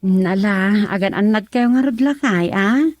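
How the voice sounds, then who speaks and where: An actress playing a gentle married domestic helper in a radio drama, with a Filipino accent. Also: female voice, mid-range voice, measured pace, no echo, studio quality, natural voice. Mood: caring. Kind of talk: Ilocano radio drama acting.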